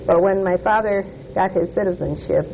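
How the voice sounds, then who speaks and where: An older speaker from Detroit, whose was Polish, tells a family story slowly and hesitantly. The voice is gravelly and sits at a middling pitch. It comes through a muffled, lo-fi recording with steady audible hiss. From Detroit, United States. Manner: hesitant, with frequent "uh" pauses and self-corrections. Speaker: oral history interviewee. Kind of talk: slow, reflective life-story telling. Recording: muffled lo-fi tape with clear hiss.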